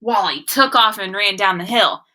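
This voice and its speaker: A young adult woman reading aloud and teaching young children, expressive and sing-song, often addressing them with questions. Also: medium pitch, normal speed. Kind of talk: read-aloud story and phonics lesson for children.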